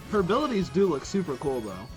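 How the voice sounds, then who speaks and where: An excitable video host giving gushing reaction commentary to game character trailers. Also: mid-range voice, quick pace, poor audio. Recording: game music behind the voice. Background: video game trailer music.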